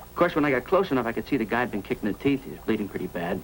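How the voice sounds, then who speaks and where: A male actor plays a friendly, folksy hobbyist in a 1950s radio drama. He chatters eagerly and quickly in a medium-pitched voice, over faint noise from an old recording. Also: sing-song, lightly acted, rough recording. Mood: chatty, earnest. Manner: talkative, folksy, hesitant asides.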